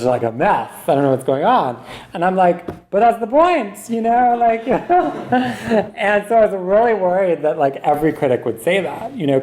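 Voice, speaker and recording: Lady writer w a really low soft-edged voice, thinking out loud at a reading, bit of background noise. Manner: meandering, emphatic, thinks aloud with frequent asides. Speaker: author speaking at a reading. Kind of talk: candid question-and-answer talk about writing. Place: university reading event, live before listeners. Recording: noticeable background noise, live event recording.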